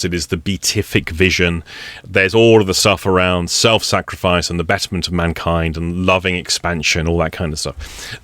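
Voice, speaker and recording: A man giving relaxed spoken instruction on a meditation practice, explaining it step by step in a wry, conversational way. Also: sits low, no room sound. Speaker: meditation teacher.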